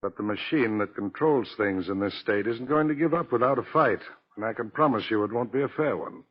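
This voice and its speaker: A man's voice: mid-range voice, a little fast, husky, dull audio, lightly acted. A man playing a dignified, earnest retired-lawyer reformer, formal and emphatic, in a vintage 1940s radio drama recording. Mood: earnest, resolute.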